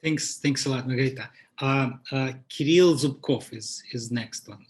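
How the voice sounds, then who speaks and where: A man moderating an online meeting, hurrying to introduce the next speaker. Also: mid-range voice. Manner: hurried, moving things along. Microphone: computer mic on a video call.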